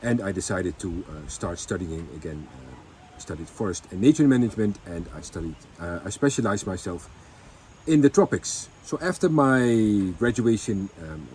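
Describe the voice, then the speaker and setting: Man with a deep voice, unpolished vlog chat, light noise behind him. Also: expressive, darkish tone, rough recording. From Netherlands. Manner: halting, many ums and restarts. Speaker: vlogger. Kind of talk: casual storytelling chat.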